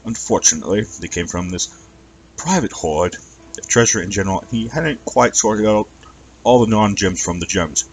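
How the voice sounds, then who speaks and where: A man's voice: lowish voice, normal speed, a little hiss or hum, natural voice, low-quality recording. A man voicing a large, polite male dragon in a story, with a British accent and a pompous, flowery manner. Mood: genteel, grateful.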